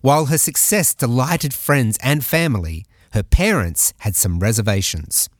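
A man hosting an agriculture podcast, reading scripted narration in a measured way. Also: mid-range voice, animated, no echo, clean studio sound. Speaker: podcast host. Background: background music.

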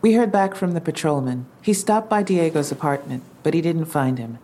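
An actress playing a gentle female police officer who speaks softly and carefully. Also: sits low, animated, moderate pace. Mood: careful, reassuring. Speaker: police officer in an audio drama. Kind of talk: dramatic dialogue. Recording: noticeable ambient background noise.

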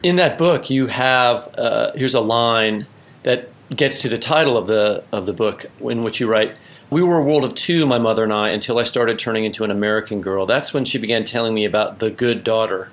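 A man's voice: on the low side, a little fast. A man speaking as a podcast host in a literary interview, putting questions to an author.

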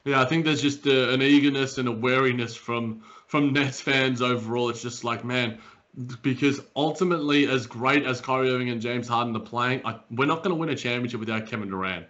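A man from Australia co-hosting a casual sports podcast, rambling with animated restarts and a slightly raspy morning voice, over a dull remote recording. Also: medium pitch, a little fast. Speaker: podcast co-host.

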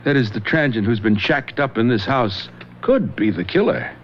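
An actor playing a shrewd police lieutenant in a radio drama, calm, probing and authoritative. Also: male voice, mid-range voice, normal speed, lightly acted. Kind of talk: 1970s radio drama acting. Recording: dull old radio broadcast recording, faint hiss.

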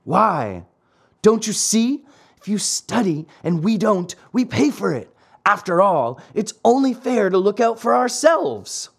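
A man's voice: high-pitched, sing-song, measured pace, vocal fry, slightly rough, voice pushed up, exaggerated voice. A man voicing sneering, bullying schoolboys in a storybook, jeering and scolding. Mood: persuading. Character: gang of sneering, bullying schoolboys, threatening and teasing.

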